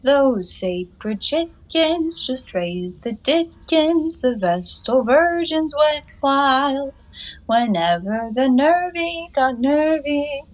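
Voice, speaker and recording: A woman's voice: high-pitched, low-quality recording. An actress playing a carefree, cheeky young woman of a 1920s stage comedy, with airy, teasing, mock-grand phrasing. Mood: singing playfully. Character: playful, cheeky, carefree young woman lounging in her bath.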